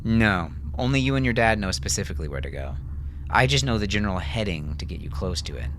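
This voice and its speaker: A man's voice: lowish voice, sing-song, quick pace, a little hiss or hum. Man voicing a placid, teasing young woman. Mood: kind, teasing. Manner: placid, playful, gently prodding. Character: calm, teasing, reassuring young woman companion.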